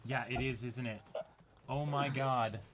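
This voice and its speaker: A man's voice: medium pitch, fairly even intonation, measured pace, very noisy. A young man co-hosting an unboxing video in informal, casual chat. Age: young adult. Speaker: co-host of an unboxing show. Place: indoor room, seated before a backdrop.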